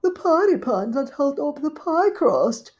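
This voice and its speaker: A woman's voice: high voice, big pitch swings, unhurried, a little mellow, voice pushed up. A woman voicing an anxious, greedy little female dog guest in a storybook reading, eager and fussy.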